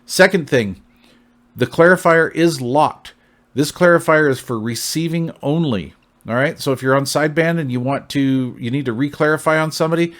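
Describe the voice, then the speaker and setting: A man's voice: medium pitch, a bit bright. An American man hosting a casual product review in a folksy, long-winded, conversational way, often checking in with the listener. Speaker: CB radio reviewer, channel host.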